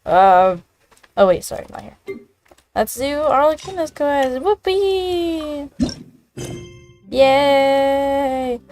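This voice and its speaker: A woman streams a game in a casual, scatterbrained way. Her voice is a little high and her pace is slow, with giggly asides and faint game music underneath. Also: expressive, darkish tone. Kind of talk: casual playthrough chat with giggly reactions.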